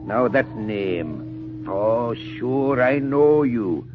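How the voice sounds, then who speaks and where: A man's voice: medium pitch, animated, extremely slow. An actor playing a hearty, talkative Irish policeman in a folksy, blustering manner in a 1940s radio drama. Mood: curious, hearty. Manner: folksy, roundabout, blustering but good-natured. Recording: muffled old radio broadcast with music.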